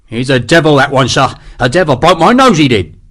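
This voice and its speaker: A man's voice: fairly high pitch, animated, brisk, fairly bright tone. A man voicing a coarse, sneering army sergeant. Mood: sly. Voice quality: coarse, sneering, rough slang. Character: brutal scheming male army sergeant, coarse.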